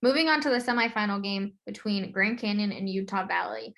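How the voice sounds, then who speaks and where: A woman's voice: medium pitch, brisk, vocal fry, fairly bright tone. An American woman hosting a sports podcast in a conversational recap style.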